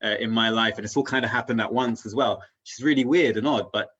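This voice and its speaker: The recording is a little muffled and lo-fi. A man with a lowish voice talks as a guest in a relaxed conversation. He is earnest and philosophical, rambling through his thoughts and checking that he is followed. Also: quick pace, slightly rough. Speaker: interview guest. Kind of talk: casual reflective conversation.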